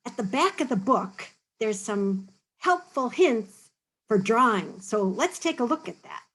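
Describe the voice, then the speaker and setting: Slow, patient woman reading aloud to kids. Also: a little high, sing-song, a bit bright, low-quality recording. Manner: patient, explains slowly, often checks in with "okay?". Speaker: storybook reader and teacher. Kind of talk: reading a picture book aloud to children, then drawing tips.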